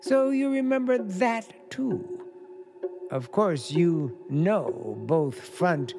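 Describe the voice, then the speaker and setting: Creaky, menacing, taunting villain. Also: male voice, high-pitched, expressive, full character voice. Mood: sly menace. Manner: deliberate, taunting. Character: menacing, sly brother-in-law toying with his victim. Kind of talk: radio play acting. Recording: music behind the voice.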